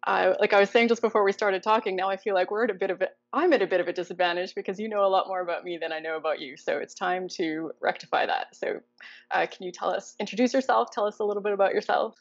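A woman's voice: medium pitch, steady tone, fast talker, dull audio. A woman in her thirties hosting a video-call interview, upbeat and encouraging. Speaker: host. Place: living room indoors. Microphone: lapel mic on a video call.

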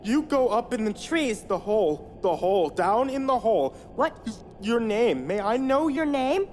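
A man voicing an eerie forest creature that mimics voices, slow and repetitive. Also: extremely high pitch, big pitch swings, darkish tone, a little hiss or hum, pitched above the performer's own voice. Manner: slow, distant, repetitive pleading.

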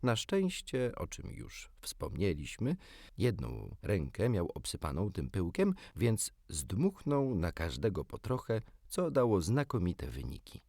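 Deep, animated male storybook narrator. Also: measured pace, creaky, dry recording, studio quality. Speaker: audiobook narrator. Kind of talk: expressive children's storybook narration.

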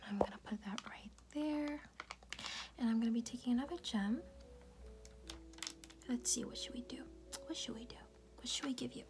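A woman speaking in a soft, breathy, often unvoiced whisper, tenderly reassuring the listener in an intimate ASMR roleplay. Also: on the high side, normal speed, pauses often. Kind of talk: ASMR personal-attention roleplay, comforting affirmations. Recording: music playing behind the voice. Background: music.

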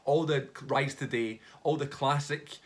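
Excitable young man, stuffy-nosed. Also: mid-range voice, a little slow. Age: young adult. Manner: excitable, gushing, rambling. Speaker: music reaction YouTuber. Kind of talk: casual reaction vlog. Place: living room at home.

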